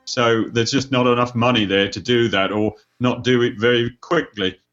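A man's voice: medium pitch, fairly even intonation, normal speed, fairly bright tone, muffled recording. A British man from the United Kingdom, an author speaking as a podcast panelist, talking casually with frequent hesitations. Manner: hesitant, lots of "uh" fillers.

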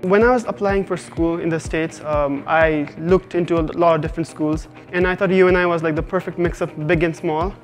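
Young man, Nepali accent, higher register, casual student testimonial, light music underneath. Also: fairly even intonation, a little fast, hardly any pauses. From Kathmandu, Nepal. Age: young adult. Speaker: university student. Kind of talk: casual promotional interview testimonial. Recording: faint music behind the voice.

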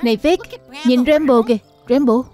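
A woman voices a young cartoon forest animal in a high, childlike register. The delivery is excitable, made for a children's animated dub, with music playing under the dialogue. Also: normal speed, natural voice. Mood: excited, childlike.

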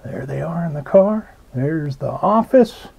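A man reading a children's picture book aloud, slow and expressive, pausing to point out details in the pictures. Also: a little high, vocal fry, slightly rough. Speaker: storybook reader. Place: indoors at a table.

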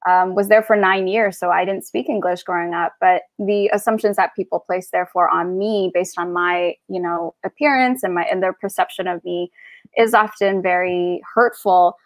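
A woman's voice: fairly low pitch, steady tone, dry recording. A woman in her thirties, originally from Taiwan and not an English speaker, speaking thoughtfully as co-host on a video call from her living room. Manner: thoughtful, measured, hesitant with "um". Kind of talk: reflective conversation. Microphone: earphone mic on video call.

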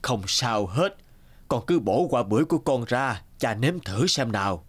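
A man voicing a wise, kindly but stern old father in a fairy-tale cartoon, slow and measured. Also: mid-range voice, expressive, lightly acted. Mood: tender. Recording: music behind the voice.

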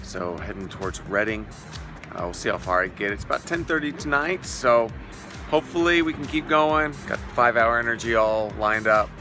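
A man's voice: medium pitch, lively intonation, moderate pace, over music. A man in his thirties vlogging from his car on a long night drive, talking casually to a phone at arm's length, tired and full of uhs. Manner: tired, chatty, with many uhs. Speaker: vlogging dad on a road trip. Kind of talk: casual vlog to camera. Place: inside a car at night and on the road. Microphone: phone camera at arm's length.

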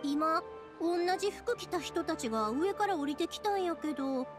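Lively young woman, Kansai accent. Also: very high voice, normal speed, over loud music. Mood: curious. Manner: friendly, expressive, colloquial. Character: cheerful, sociable young woman, lively and considerate. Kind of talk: Japanese anime game voice acting.

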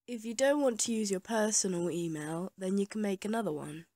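A woman's voice: medium pitch, fairly quick, mellow, dry recording. Unwell-sounding woman, close and dry. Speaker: online animator and host. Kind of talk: casual announcement to viewers.

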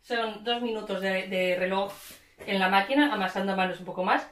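A woman's voice: medium pitch, fairly quick. A woman, explaining a recipe step by step as she cooks at home, picked up by a camera mic across the kitchen. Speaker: cooking show host. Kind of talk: casual cooking demonstration. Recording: echoey kitchen with clattering noise.

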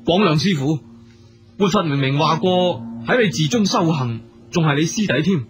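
A man's voice: fairly high pitch, animated, moderate pace, a little hiss or hum, natural voice. A man with a Hong Kong Cantonese accent playing an earnest, devout and deferential wealthy merchant in a radio drama. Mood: questioning. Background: music.

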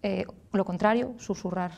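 A woman in her thirties with a Galician Spanish accent, a speech therapist explaining clearly and patiently as a guest in a TV studio. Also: mid-range voice, moderate pace, darkish tone, lo-fi sound. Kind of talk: explanatory interview answers.

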